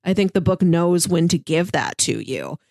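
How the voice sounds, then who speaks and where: A woman's voice: fairly low pitch, expressive, normal speed, gravelly, a little mellow, no room sound. Young female co-host, talkative, lots of fillers, dead-dry mic. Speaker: podcast co-host. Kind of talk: casual chat.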